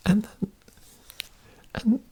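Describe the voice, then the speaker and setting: A woman whispering in an earnest ASMR talk, breathy, with giggly asides. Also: drawn-out words, mellow, a little hiss or hum. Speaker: ASMR creator.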